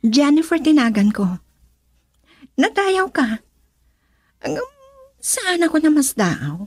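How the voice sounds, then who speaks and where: An actress playing an outspoken, emotional wife and daughter in a radio drama, husky and insistent. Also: female voice, sits high, very animated, takes it slowly, dry recording, clean studio sound, exaggerated voice. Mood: suspicious. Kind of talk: Ilocano radio drama acting.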